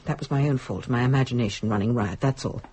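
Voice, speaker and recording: An actress playing a sharp-tongued married woman, frightened and defensively rationalising, with a husky voice in a dramatic audio-play delivery. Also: extremely low pitch, fast, a little hiss or hum. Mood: defensive, rattled. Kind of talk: stage-style audio drama acting.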